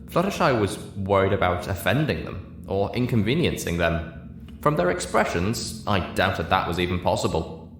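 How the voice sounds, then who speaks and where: A man's voice: deep voice, sing-song, normal speed, fairly bright tone, slight background noise. A man narrating a first-person story as a reader. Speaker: audiobook-style reader.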